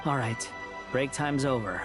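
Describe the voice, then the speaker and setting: A man's voice: mid-range voice, lively intonation, measured pace, music in the background, natural voice. An actor playing a supportive, easygoing man in a video game, speaking informally and with encouragement. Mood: reassuring. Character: supportive, easygoing male friend giving reassurance.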